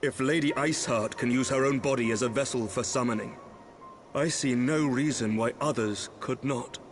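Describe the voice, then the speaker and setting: A man's voice: medium pitch, moderate pace, soft background music, close to an everyday voice. An actor playing an earnest, worried young nobleman in a fantasy game, speaking formally and with solemn deliberation. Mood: thoughtful. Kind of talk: scripted fantasy game dialogue. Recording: faint ambient noise.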